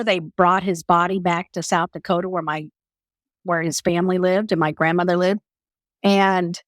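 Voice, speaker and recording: A woman's voice: mid-range voice, dry recording, clean studio sound. A woman hosting a conversational interview, speaking as an empathetic, encouraging listener who shares personal stories. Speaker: podcast host.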